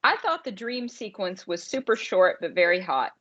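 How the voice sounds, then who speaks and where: A woman's voice: mid-range voice, sing-song, normal speed, fairly bright tone. A woman co-hosting a podcast, chatting casually about films in an emphatic way, repeating words for stress. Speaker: podcast co-host. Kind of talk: casual movie review chat. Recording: dull lo-fi audio.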